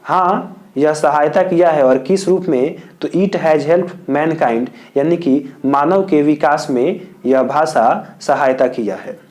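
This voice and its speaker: A man from India teaching as a tutor, dictating answers slowly and repeating each point in several wordings. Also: fairly high pitch, darkish tone. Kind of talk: exam answer lesson.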